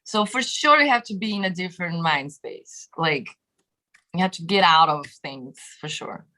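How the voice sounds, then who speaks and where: A woman's voice: on the low side, moderate pace. A woman in her thirties with a Brazilian accent, chatting casually as an interview guest over a video call from a home room, laughing often and filling with "like" and "you know". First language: Portuguese. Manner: animated, laughs often, many fillers. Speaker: guest musician. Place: bedroom home studio, indoors. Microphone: webcam video call.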